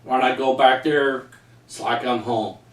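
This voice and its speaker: A man's voice: mid-range voice, steady tone, unhurried, far from the mic. Folksy Texan man reading in an echoey room. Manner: folksy storyteller, says y'all. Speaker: author reading his book aloud. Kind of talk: reading a personal story aloud. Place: home room with framed pictures, indoors. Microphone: far camera.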